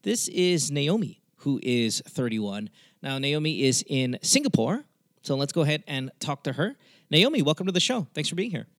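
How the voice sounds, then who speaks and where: A man's voice: fairly high pitch, sing-song, moderate pace, no room sound, studio quality. A man hosting a podcast call-in show, chatting casually and bluntly with plenty of swearing. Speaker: podcast host taking calls. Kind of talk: casual, profane talk-show chat.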